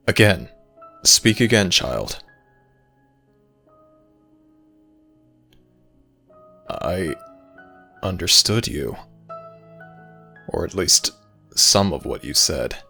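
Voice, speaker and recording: Male actor as god of the dead, extremely low gravelly voice, formal archaic speech, gruffly caring, ASMR roleplay. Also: bright, forceful tone, exaggerated voice. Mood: gentle concern. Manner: formal, measured, archaic phrasing, addressing a listener as child. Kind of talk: ASMR audio roleplay, slow intimate dramatic monologue.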